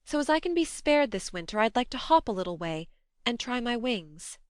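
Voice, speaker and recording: Woman playing a brisk, tender-hearted young woman, clear-voiced. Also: on the high side, big pitch swings, no echo, studio quality, pitched above the performer's own voice. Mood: restless, earnest. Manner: brisk, admonishing, affectionate.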